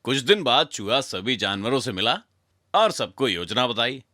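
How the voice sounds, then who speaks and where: A man's voice: medium pitch, big pitch swings, moderate pace, pushed and bright, dry recording, studio quality. A man narrating a scripted children's story in a dramatic, direct way as a storyteller.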